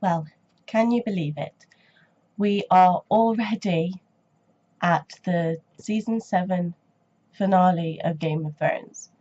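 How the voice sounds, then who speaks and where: A young woman talking casually on her reaction vlog, her voice stuffy and a little rough from a cold. She speaks low and soft, rambling with lots of ums and restarts. The recording sounds dull and lo-fi. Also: slow, pauses often. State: stuffy nose and sore throat from a cold. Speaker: reaction channel host.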